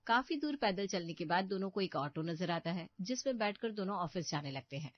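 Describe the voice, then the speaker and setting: A woman's voice: lowish voice, expressive, brisk, no room sound. Woman narrating a cartoon story, dry sound. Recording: dull, muffled studio voice track.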